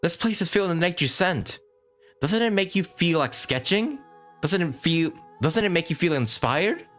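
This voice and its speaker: A man's voice: high voice, lively intonation, normal speed, a bit bright, faint music behind, pitched above the performer's own voice. A man reading game dialogue aloud as a boastful, eager little female fairy tour guide. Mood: boastful, cheery. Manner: eager, self-important, talks in third person.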